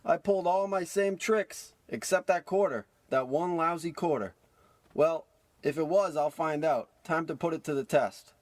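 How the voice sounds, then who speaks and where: A man's voice: a little high, moderate pace, lightly acted. A man playing a brash, scheming small-time American huckster with slangy old-timey patter in a parody radio play. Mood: amazed, restless.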